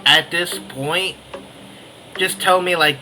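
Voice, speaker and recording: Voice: mid-range voice, takes it slowly, sharp tone, a little hiss or hum, rough recording. A gaming creator of unclear sex giving casual reactions over gameplay, with wry, muttered asides. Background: game music.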